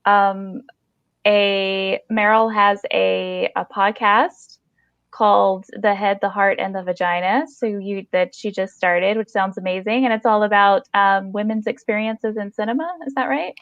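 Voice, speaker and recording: A woman's voice: mid-range voice, fairly even intonation, measured pace, fairly bright tone, smooth, no echo. Effusive woman podcast host, dull dry recording. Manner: effusive, encouraging. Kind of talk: casual interview chat with plugs.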